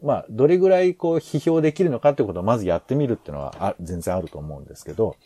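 A man's voice: fairly low pitch, expressive, rapid-fire, mellow, husky, dry recording. A man hosting a podcast, talking casually through planning ideas in a hesitant, rambling way as he thinks aloud. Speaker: podcast host.

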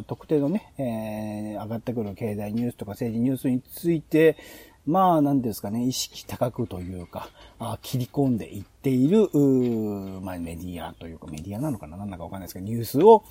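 A man's voice: medium pitch, lively intonation, quick pace, warm tone, slightly rough. A man hosting a podcast planning chat, explaining his ideas as he thinks aloud. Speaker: podcast host presenting program ideas. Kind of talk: casual planning-meeting talk.

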